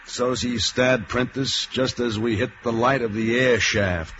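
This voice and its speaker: A man playing a grim, scornful American police detective in an old radio thriller, speaking with slow, bitter contempt. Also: mid-range voice, steady tone, close to an everyday voice. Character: grim, scornful police detective standing over a dying killer. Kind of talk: 1940s-50s American radio thriller acting. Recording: noisy, dull old radio recording.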